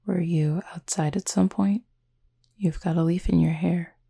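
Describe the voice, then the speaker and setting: A woman playing a gentle, formal cupid roommate in an intimate roleplay audio, speaking softly and breathily with measured, teasing politeness. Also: lowish voice, fairly even intonation, exaggerated voice. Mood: tender, calm. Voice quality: soft, breathy, slightly husky. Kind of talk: intimate roleplay audio acting, addressed to listener.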